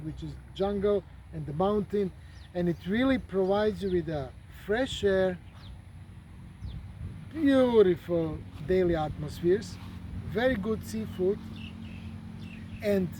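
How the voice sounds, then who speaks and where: Man, high, soft-toned, halting casual delivery. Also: slight background noise. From Croatia. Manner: hesitant, many fillers and repeated words. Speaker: traveler. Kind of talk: casual travel talk. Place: outdoors at a golf resort.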